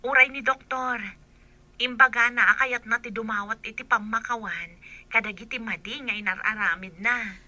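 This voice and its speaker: Very bright, inquisitive woman friend, acted out. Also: fairly high pitch, fairly even intonation, normal speed. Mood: concerned, curious. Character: concerned, inquisitive female friend on a call. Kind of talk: radio drama acting.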